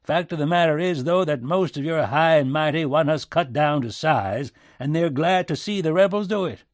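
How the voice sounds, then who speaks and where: A man's voice: a little high, normal speed, no room sound, studio quality, pitched above the performer's own voice, close to an everyday voice. Man acting a folksy, bitter backwoods American president. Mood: agitated, sardonic. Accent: American back-country. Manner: folksy, sardonic, emphatic.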